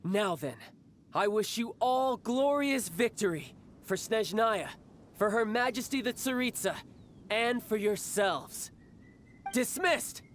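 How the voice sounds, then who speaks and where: An actor playing a confident, playful young warrior and doting older brother in a video game, with a theatrical, teasing manner. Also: male voice, high voice, animated, takes it slowly, a bit bright, a little hiss or hum, exaggerated voice. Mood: commanding. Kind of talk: video game character acting. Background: game music.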